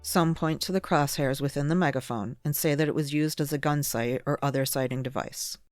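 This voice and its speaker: A documentary narrator reads from a script with a mid-pitched voice. The delivery is measured and explanatory. Soft ambient chill-out music plays behind the voice. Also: no echo.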